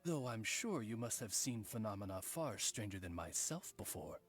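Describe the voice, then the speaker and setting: An actor voicing a polite, erudite raven servant in a video game, speaking in formal, measured phrases. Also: male voice, fairly low pitch, very animated. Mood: courteous, composed. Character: courteous, erudite male talking raven, loyal servant.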